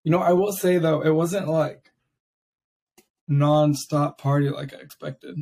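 A raspy-voiced young man hosting a casual podcast, chatting loosely with many fillers. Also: a little high, steady tone, unhurried, warm tone. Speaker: podcast host. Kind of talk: casual chat.

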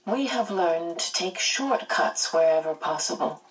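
Woman reading a kindly otherworldly guide; gentle, measured explanations. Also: fairly low pitch, lively intonation, recorded from a distance, rough recording.

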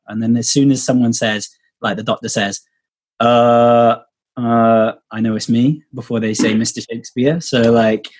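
A man's voice: fairly low pitch, slightly rough. A man chatting casually as a podcast guest, rambling with lots of fillers and restarts, heard over a video call. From Wales. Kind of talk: casual testimony chat.

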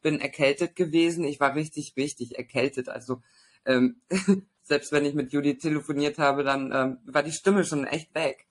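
A woman with a naturally raspy voice speaks as a guest in a casual podcast chat. She talks eagerly about her own experience but stalls often, filling gaps and tagging her sentences with little checks to her listener. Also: deep voice, steady tone, normal speed. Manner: enthusiastic, hesitant with fillers and tag questions. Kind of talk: personal testimony in casual conversation.

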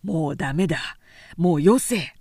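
A woman's voice: low voice, expressive, measured pace, mellow, no room sound, studio quality, pitched below the performer's own voice, heavily acted. A woman reading a story, voicing a worried, devoted young working man with blunt masculine speech.

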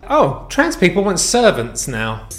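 A man's voice: high voice, huge pitch swings, measured pace, noisy background. A man hosting a casual commentary show, talking in a crude, mocking way. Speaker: host.

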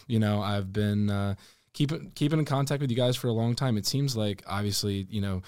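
A man's voice: fairly low pitch, fairly quick, a little mellow, smooth, no room sound. A young man hosting a podcast in a studio, chatting casually and asking rambling questions full of fillers. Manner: hesitant, filler-heavy, meandering questions. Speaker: podcast host. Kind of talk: casual interview chat.

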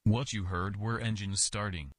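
A man playing a quietly confident, observant friend in a short story, speaking in a measured, matter-of-fact way. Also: on the low side, fairly even intonation, no room sound, clean studio sound, natural voice. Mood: quiet confidence. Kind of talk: dramatised short story dialogue.

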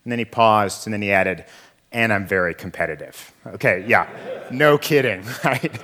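A man in his thirties, a pastor with a froggy, hoarse voice from a cough, giving a conversational sermon and asking his listeners questions. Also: lowish voice, moderate pace, sharp tone. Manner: conversational, asks the audience questions. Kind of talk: sermon opening with a story.

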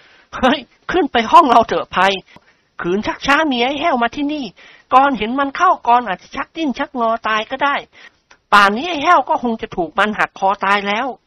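A reader doing a high jumpy jokey young man. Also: brisk, no echo, poor audio. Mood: jittery. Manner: high and excitable.

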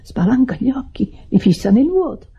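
Anxious, very husky smothering caregiver. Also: high voice, big pitch swings, moderate pace, exaggerated voice. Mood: anxious, hushed. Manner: fretful, hushed, theatrically solicitous. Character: anxious, smothering, pious woman nursing an invalid. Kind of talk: radio drama acting. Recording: dull lo-fi radio recording.

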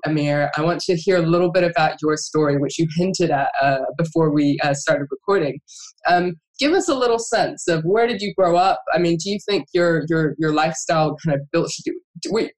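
A woman's voice: lowish voice, poor audio. A woman hosting an interview, reflecting on her own experience and asking questions with frequent fillers and restarts. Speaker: podcast host. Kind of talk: conversational interview.

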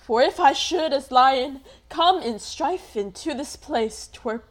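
Woman playing a slow, grandly declaiming amateur stage lion. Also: high voice, expressive. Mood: earnest. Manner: loud, halting, grandly declamatory. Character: earnest amateur actor playing a gentle lion. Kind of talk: Shakespearean radio play acting.

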